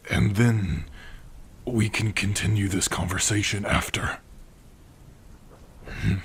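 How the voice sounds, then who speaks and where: A man voicing a gentle, protective orc in an ASMR roleplay, speaking softly and haltingly to his partner in a husky, breathy voice. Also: lowish voice, a little hiss or hum. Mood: tender, puzzled. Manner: soft, halting, hushed, intimate.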